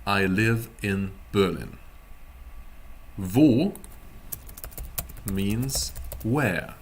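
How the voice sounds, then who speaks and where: A man giving a casual live-streamed language lesson as a teacher, explaining word by word in a halting, deliberate way. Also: fairly low pitch, a little mellow, a little hiss or hum. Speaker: German teacher.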